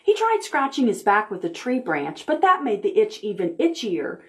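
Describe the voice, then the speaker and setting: A woman librarian leads a preschool storytime in a roomy, echoing space, her voice sitting a little back from the camera mic. She speaks in a cheerful, encouraging, child-directed way at an ordinary mid pitch. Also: very animated, recorded from a distance. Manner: cheerful, slow and encouraging, talking to small children. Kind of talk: preschool storytime, reading aloud and counting with kids. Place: library room.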